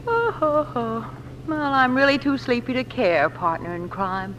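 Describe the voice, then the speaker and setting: An actress playing a sophisticated, witty companion to an adventurer, bantering playfully in 1940s radio style on a noisy recording. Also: female voice, high voice, animated, measured pace, a bit bright, muffled recording.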